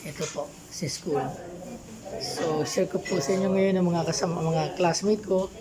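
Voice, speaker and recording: A woman vlogger with a Filipino accent talks casually to the camera and to the people around her. She repeats words as she goes, chatty and informal. Loud, busy chatter surrounds her voice. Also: on the low side, steady tone, normal speed, poor audio. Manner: chatty, repeats words, mixes Tagalog and English. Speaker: vlogger, baking student. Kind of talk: casual vlog chat, greeting people and showing around. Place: busy school rooms.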